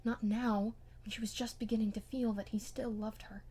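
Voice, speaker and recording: A woman reading a classic novel aloud as an amateur audiobook narrator, with expressive scripted delivery. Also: fairly high pitch, moderate pace, breathy edge.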